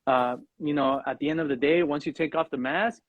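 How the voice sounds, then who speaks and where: A man talking as a podcast guest in a reflective, earnest, halting way, often checking in with "you know" and "right?". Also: a little high, dry recording, lo-fi sound. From Mexican background. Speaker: podcast guest, fatherhood coach. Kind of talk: reflective personal conversation.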